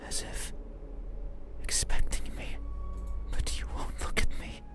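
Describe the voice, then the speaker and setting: A man voicing a whispering, lonely creature that slowly grows, speaking in a breathy, soft, unsettling murmur. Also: natural voice. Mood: creepy whisper. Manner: slow, soft, unsettling, intimate. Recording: whisper over loud game music.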